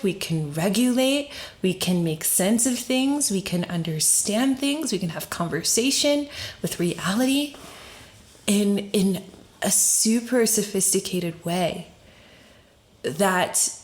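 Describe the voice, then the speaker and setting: Lower-pitched woman, reflective podcast guest. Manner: informal, searching phrasing with rhetorical questions. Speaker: podcast guest, story doula and facilitator. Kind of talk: conversational, reflective explanation.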